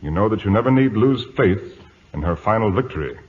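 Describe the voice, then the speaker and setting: A man's voice: lowish voice, expressive, fairly quick, natural voice. A man acting a resolute, composed British naval captain in a radio drama, formal and authoritative. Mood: grave, composed. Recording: dull lo-fi old radio recording.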